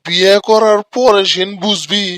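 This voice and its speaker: A performer voicing a gruff, grumbling village father of a grown son in a comic cartoon, with a rural Bangladeshi accent. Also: medium pitch, fairly even intonation, measured pace, a bit bright, dry recording, close to an everyday voice. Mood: exasperated.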